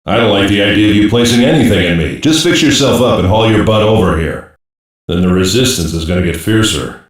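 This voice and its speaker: A male actor plays a gruff special-ops cyborg soldier from an anime dub. His low voice delivers blunt, slangy wisecracks with a sardonic edge. The sound is heavily echoed and sits far from the mic. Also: expressive, fairly quick, close to an everyday voice. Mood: brusque. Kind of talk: English-dub anime voice lines.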